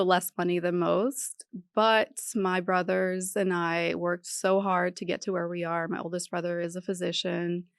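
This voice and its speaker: Middle-aged woman from iran, lowish voice, candid guest talk, dry close mic. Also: no echo. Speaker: podcast guest. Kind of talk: casual interview talk.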